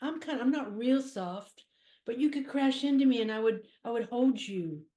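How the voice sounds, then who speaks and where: A woman's voice: on the high side, expressive, normal speed, dark tone, lightly acted. A woman in an improv scene playing a female teddy bear who longs to be bought, talking in a dramatic, fond way. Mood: coaxing. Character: wistful unsold female teddy bear, longing.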